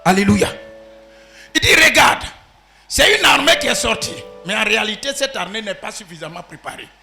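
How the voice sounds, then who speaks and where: A man preaching a sermon as pastor, swinging from shouted peaks to quiet asides. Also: high-pitched, big pitch swings, moderate pace, vocal fry, soft background music.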